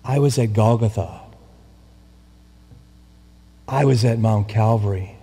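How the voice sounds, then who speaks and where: Slow, solemn priest in echoing church. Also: male voice, fairly low pitch, mellow. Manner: slow, solemn and deliberate, with long pauses and repetition. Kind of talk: sermon. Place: large church, from the pulpit. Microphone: far camera, pulpit mic. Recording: long church reverb.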